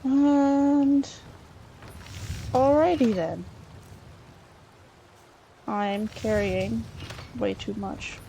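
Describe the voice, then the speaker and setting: Woman, high soft-edged mellow voice, halting in-character game narration, musing aloud, faint background noise. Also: fairly even intonation, vocal fry, poor audio. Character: chatty Breton scholar woman, treasure-hunting adventurer. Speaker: let's play host role-playing a character.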